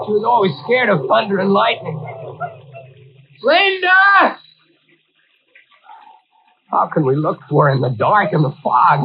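An actor playing an anxious, protective young brother in a 1940s radio thriller, urgent and exclamatory, with slangy speech. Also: male voice, very high voice, highs cut off, full character voice. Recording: noisy band-limited vintage radio recording.

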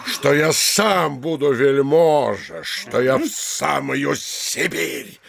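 An actor playing a self-important, blustering middle-aged town mayor in an audio play, pompous and swaggering. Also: male voice, mid-range voice, slow pace, creaky, a bit bright, heavily acted. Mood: indignant. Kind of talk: theatrical audio play acting. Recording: crowd murmuring behind the voice.

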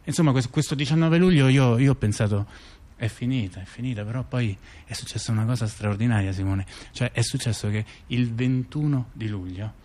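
A man playing a reflective intellectual in a radio theatre dialogue, recounting events and memories in a halting, conversational way with repeated words. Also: medium pitch, a little mellow, close to an everyday voice. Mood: grave, reminiscing. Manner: halting, repeats words and restarts while recollecting. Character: reflective male intellectual recalling political events. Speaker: performer in a two-person radio theatre dialogue.

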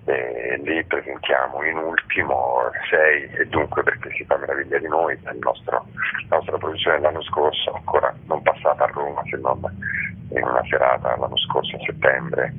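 A very low-voiced man speaks over a thin telephone line. He sounds husky and answers interview questions in a halting, reflective way, pausing to pick his words. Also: fairly even intonation. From Rome, Italy. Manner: halting, measured, with pensive pauses. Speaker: theatre director and actor, interviewed guest. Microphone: phone call.

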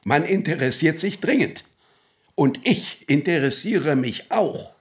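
Condescending state prosecutor, lighter-pitched. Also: male voice, lively intonation, measured pace. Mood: skeptical, reproving. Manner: patronizing, pointed. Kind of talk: radio play dialogue.

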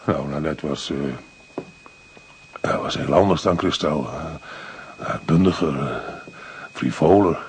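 An actor in a radio play, playing a distraught, guilt-ridden poor painter who stammers, halts and repeats himself. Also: male voice, extremely low pitch, slow, slight background noise, full character voice. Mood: hesitant. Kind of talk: Dutch radio play acting.

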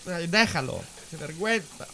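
Man playing a high-voiced, sulky teenage son. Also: lively intonation, moderate pace, pushed and bright, slight background noise. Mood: sulky, embarrassed. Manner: grumbling, defensive. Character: embarrassed, sulky, self-conscious teenage son. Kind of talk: audio fiction acting.

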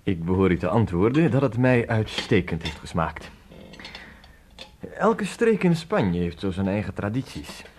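An actor playing an inquisitive, courteous Spanish traveller of nearly forty in a radio drama, speaking formally and wordily. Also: male voice, on the low side, big pitch swings, a little slow, a little mellow. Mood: courteous, probing. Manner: formal, wordy, probing. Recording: noisy old radio play recording.

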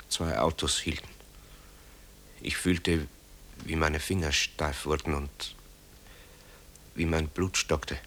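A very deep-voiced, guilt-haunted son. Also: male voice, a bit bright, a little hiss or hum, full character voice. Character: tormented adult son, composer haunted by wartime guilt. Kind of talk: German radio drama acting.

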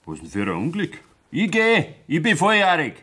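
An actor playing a stubborn, bitter young farm son with a Bavarian accent in a dialect radio drama, defiant and curt. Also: male voice, a little high, huge pitch swings, moderate pace, bright, forceful tone, husky, exaggerated voice.

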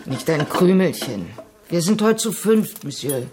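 A woman's voice: on the low side, animated, measured pace, crowd noise. An actress playing a blunt, cheeky maidservant who banters familiarly with her master in a radio play. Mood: matter-of-fact. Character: outspoken, cheeky maidservant of a miser. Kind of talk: German radio play acting.

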